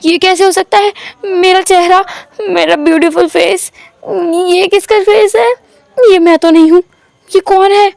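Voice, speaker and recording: A desperate young heir cries out, his voice pitched high and strained as a woman narrator plays him. The sound is dry and close, with no room around it. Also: fairly even intonation, a little slow, vocal fry, dry recording, heavily acted. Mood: frantic. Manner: frantic, pleading, shouting. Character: distraught young man, heir to a rich family.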